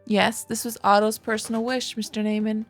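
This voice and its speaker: A woman's voice: a little high, level tone, moderate pace, soft background music, close to an everyday voice. A woman voicing a distressed, insistent young wife in a visual novel, speaking earnestly. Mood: earnest, explaining. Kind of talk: visual novel dialogue read aloud.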